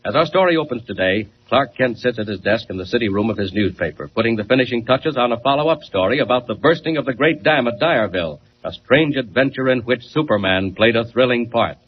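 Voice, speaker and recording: A man's voice: medium pitch, animated, a little fast, few pauses, close to an everyday voice. A man narrating a scripted radio serial as its announcer, in a 1940s style. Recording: muffled, noisy old radio recording.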